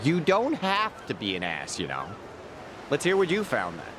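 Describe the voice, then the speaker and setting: A lively, higher-voiced talkative sidekick. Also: male voice, normal speed. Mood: mildly miffed. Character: talkative, teasing man playing amateur detective. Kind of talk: video game voice acting. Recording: clear outdoor background noise.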